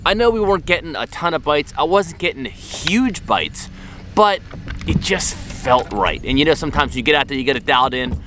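A man's voice: on the high side, big pitch swings, normal speed. Folksy man vlogging over faint outdoor noise. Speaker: fishing show host. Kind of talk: casual enthusiastic vlog talk. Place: open boat on a lake.

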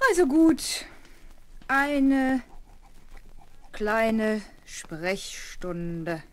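A woman playing a kindly, patient governess in a children's radio play, affectionate and gently teasing as she reassures her charge. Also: on the high side, sing-song, very slow, full character voice. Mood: patient, obliging. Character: kindly, patient young governess of a little girl. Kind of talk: 1970s German children's radio play acting.

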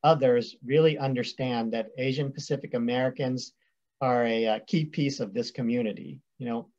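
A man's voice: medium pitch, fairly even intonation, moderate pace, a little mellow. A man in his thirties from West Virginia, an attorney speaking in a friendly, thoughtful way on a video call. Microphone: video call webcam. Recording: dull lo-fi webcam audio.

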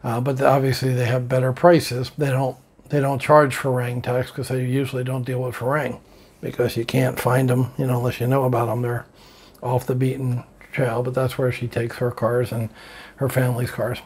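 A man's voice: medium pitch, little pitch movement, moderate pace, darkish tone, slightly rough. An older man chatting casually to his camera from a bedroom, rambling through a story and laughing at it. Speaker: vlogger. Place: bedroom, indoors.

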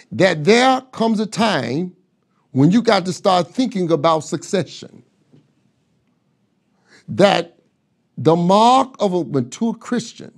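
A middle-aged man preaching a sermon from a desk in a study, building his points through rhetorical questions and repetition on a lapel mic. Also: sits high. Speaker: pastor. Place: pastor's office with bookshelves, seated at desk.

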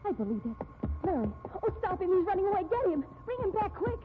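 An actress playing a well-off, determined society woman with a brisk, concerned manner in a 1950s radio drama. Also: very high-pitched, sing-song. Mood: urgent. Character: insistent well-off society woman, successful writer. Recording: tinny, noisy 1950s radio recording.

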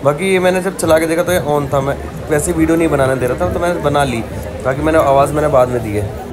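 A man's voice: fairly high pitch, quick pace. A young man vlogging as he walks through a street market, chatting as he points out goods and their prices. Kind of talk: walking market tour vlog. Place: busy outdoor street market. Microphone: handheld phone camera. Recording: busy crowd behind. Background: crowd chatter.

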